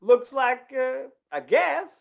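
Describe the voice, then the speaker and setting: A woman's voice: high-pitched, lively intonation, vocal fry, muffled recording, heavily acted. A woman playing a flustered, folksy, dithering small-town announcer in an old-time western radio play. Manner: dithering, halting, folksy, repeats words.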